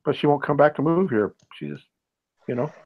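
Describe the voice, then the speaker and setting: A man's voice: fairly high pitch, sing-song, a little fast, narrow, tinny audio. A man on a livestream who reads viewers' chat comments aloud and teases the others. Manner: teasing, mock complaining. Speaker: co-host reading live chat.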